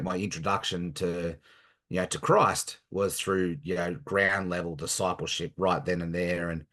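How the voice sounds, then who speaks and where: An Australian man in his thirties with a very deep, low voice. He talks earnestly and haltingly, pausing often on fillers as he answers as a guest. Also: a bit bright. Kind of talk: casual interview chat.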